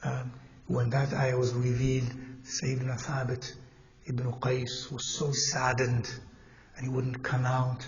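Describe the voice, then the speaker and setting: A man teaching a congregation in a mosque, speaking in a measured, halting way with pauses and self-corrections, and putting questions to his listeners. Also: medium pitch, monotone, muffled recording, a little hiss or hum. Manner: asks the audience questions as he teaches. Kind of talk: Islamic lecture to a congregation. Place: mosque hall.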